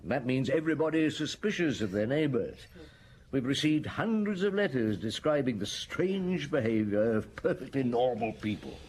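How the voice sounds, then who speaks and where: An actor playing a middle-aged police detective in a radio drama, thoughtful, deliberate and probing, speaking conversationally. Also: male voice, mid-range voice, expressive, a little mellow, natural voice. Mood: thoughtful, explaining. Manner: thoughtful, deliberate, naturally hesitant. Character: seasoned, methodical, probing male police detective.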